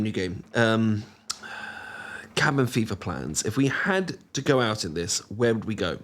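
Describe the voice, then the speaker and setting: A young British man in an intimate boyfriend roleplay, talking casually to the listener in a teasing, affectionate, sometimes halting and bashful way. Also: medium pitch. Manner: gently teasing, playful, affectionate. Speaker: audio roleplay performer.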